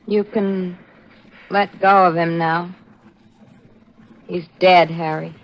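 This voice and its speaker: A woman's voice: fairly low pitch, steady tone, slow pace, a little hiss or hum. Cold, goading female accomplice, muffled. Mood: cold, urgent. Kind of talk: 1940s radio acting. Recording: muffled vintage 1940s radio broadcast.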